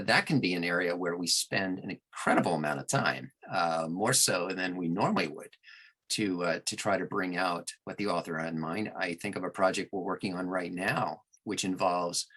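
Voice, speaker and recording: A man giving deliberate, explanatory answers as a narrator being interviewed in a conversational style. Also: sits low, sharp tone, low-quality recording. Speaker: audiobook narrator and producer, podcast guest.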